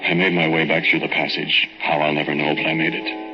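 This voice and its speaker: A very deep-voiced, haunted killer tells his confession in halting, intimate phrases. It is old-time radio drama, muffled, with music clearly playing behind him. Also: little pitch movement. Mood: haunted, confessing. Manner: halting, repetitive, intimate delivery. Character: guilt-ridden male murderer narrating his dark confession. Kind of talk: 1940s radio drama acting, first-person narration.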